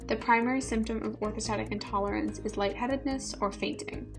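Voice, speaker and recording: Casting: woman narrator, fairly high voice, measured and explanatory, music under the voice. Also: steady tone. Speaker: narrator and interviewer. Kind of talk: scripted narration.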